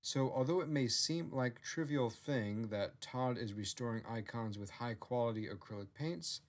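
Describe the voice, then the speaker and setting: A man reads scripted narration as the author of the written work. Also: lowish voice. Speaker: author narrating own novel.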